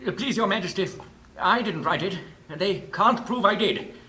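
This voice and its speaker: Woman as a bright-voiced, pleading storybook Knave. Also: medium pitch, expressive, moderate pace, pitched above the performer's own voice. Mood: defensive pleading. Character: meek, defensive male Knave standing accused in court. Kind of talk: dramatised storybook reading.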